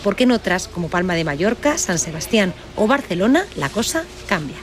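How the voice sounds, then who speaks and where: A Spanish woman in her thirties reporting from a city bus with a handheld mic, asking lively questions. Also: mid-range voice, a little fast. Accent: Spanish from Spain. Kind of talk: on-the-street news report with voice-over. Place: city bus and bus stop, outdoors.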